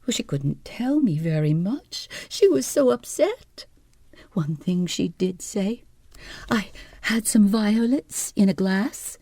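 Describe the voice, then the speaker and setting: A woman's voice: animated, slow pace, mellow, dry recording. A woman voicing a fretful, self-pitying invalid wife who whines and dramatizes everything. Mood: plaintive. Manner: whining, theatrical, high-strung. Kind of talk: audiobook character voice.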